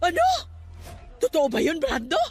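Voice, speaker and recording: A worried middle-aged Filipino aunt in a radio drama. Her voice is extremely high and swoops widely, startled, with music underneath. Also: huge pitch swings, moderate pace. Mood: alarmed. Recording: music bed behind the voice.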